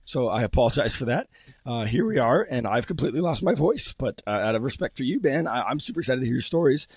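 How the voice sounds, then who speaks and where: Hoarse male podcast host with a cold. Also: mid-range voice, very animated, quick pace, a little mellow, no room sound, studio quality. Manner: friendly, hesitant with many fillers. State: losing his voice from a cold. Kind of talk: casual interview chat.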